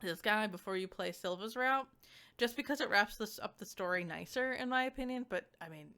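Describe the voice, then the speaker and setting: A woman's voice: medium pitch, bright, forceful tone, clear, resonant tone. Chatty woman giving a casual review. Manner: informal, halting, filler-heavy speech with frequent "like". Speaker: video game reviewer and streamer.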